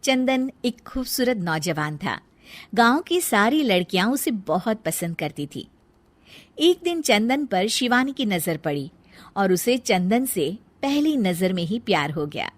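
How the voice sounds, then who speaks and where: A woman's voice: medium pitch, sing-song, unhurried. A woman narrating a scripted children's story as a storyteller.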